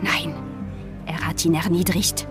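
Music plays behind a somewhat low-voiced woman, a French-speaking bearer of grim pirate news. She talks gravely and emphatically, as in a radio play. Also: flat delivery, unhurried. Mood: grave, insistent. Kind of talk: German radio drama acting.

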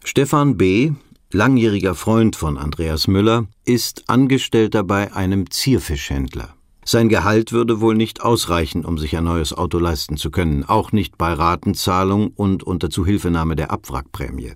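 An actor playing a sober documentary-style narrator in a radio play, reading scripted narration. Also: male voice, low voice, animated, normal speed, no echo, studio quality.

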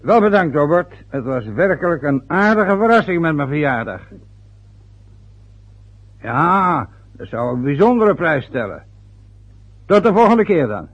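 An actor playing a pompous, gruff older father in a radio play, formal and impatient in his manner. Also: a little high, sing-song, a little slow, pauses often, heavily acted. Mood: hearty, formal. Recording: dull old radio recording.

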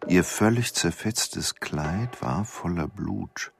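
A man's voice: sits low, takes it slowly, vocal fry, slight background noise, heavily acted. An actor playing a morbid, brooding man as a killer's intimate inner voice in a radio drama. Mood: morbid, weary. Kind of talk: radio drama interior monologue.

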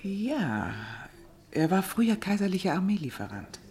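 A refined society lady from a radio play, acted by a woman, with very lively intonation. She is gossipy but careful. She speaks expressively, as if confiding. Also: medium pitch, moderate pace, natural voice. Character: cautious, gossipy society lady at a dinner party. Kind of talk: German radio play dialogue.

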